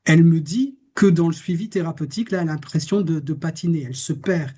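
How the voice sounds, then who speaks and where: Guy therapist giving a talk, bit high and raspy, thoughtful, asks rhetorical questions. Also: fast talker, a little mellow. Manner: reflective, rhetorical questions, quotes a patient. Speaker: therapist giving a public lecture. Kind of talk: lecture presenting a case study.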